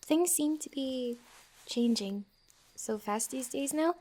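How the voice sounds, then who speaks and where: A high, girlish-pitched young fantasy wanderer, acted by a woman for an ASMR roleplay. She takes her time with each phrase, teasing and playful yet a little guarded, often halting mid-thought. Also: lively intonation, mellow, heavily acted. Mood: soft, grateful.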